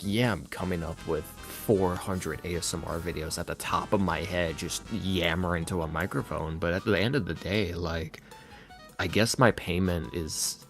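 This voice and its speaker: A man's voice: sits low, creaky. A man talking casually and informally as a podcast guest, with a self-deprecating manner. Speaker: interview guest, ASMR roleplay creator.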